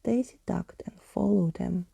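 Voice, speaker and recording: A woman narrating a storybook in a soft, deliberate ASMR whisper. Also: lowish voice, gravelly, slightly rough, dry recording. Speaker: ASMR host reading a story aloud.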